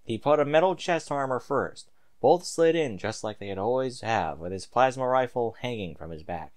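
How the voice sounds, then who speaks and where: A man's voice: medium pitch, animated, normal speed, a little mellow. A man reading a story aloud in a theatrical, emphatic amateur narrating style.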